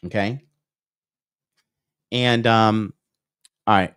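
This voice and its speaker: A man's voice: on the low side, fairly even intonation, extremely slow, fairly bright tone, clean tone, no room sound, studio quality. A male dating coach in his thirties, giving a whiteboard lecture on a livestream and peppering his points with "okay?" and "right?". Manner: reads out and answers viewer comments.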